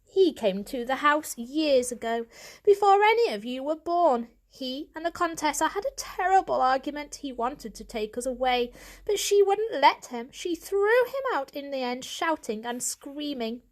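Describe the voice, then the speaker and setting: A woman's voice: sits high, lively intonation, normal speed, pitched above the performer's own voice. A woman voicing an elderly male cat, a worried family patriarch who speaks with grave, pleading insistence in an audiobook. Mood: suspicious, insistent.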